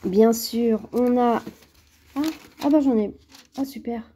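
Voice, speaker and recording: A woman with a head cold talks slowly and hesitantly, with many fillers, as she unboxes a craft kit at her table. Her voice is very dark and mellow. A faint hiss of noise sits under the recording. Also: fairly high pitch. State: has a cold. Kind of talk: casual unboxing chat to camera. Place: at home, at a table.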